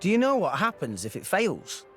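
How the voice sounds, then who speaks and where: An earnest young hero in a video game coaxes and reasons. His voice is high and animated, and faint music sits behind it. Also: normal speed, husky, heavily acted. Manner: earnest, coaxing.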